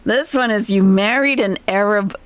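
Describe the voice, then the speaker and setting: A woman's voice: medium pitch, normal speed, dry recording. A woman host giving casual reaction commentary, chatty and explaining clips to her viewers.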